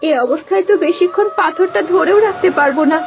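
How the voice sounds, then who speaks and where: A woman voicing a gentle young heroine in a cartoon, tearful and pleading for her life. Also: very high voice, fast talker, gravelly, slight background noise. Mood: frightened. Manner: pleading, tearful cries for help. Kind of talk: cartoon voice acting. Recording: music bed underneath.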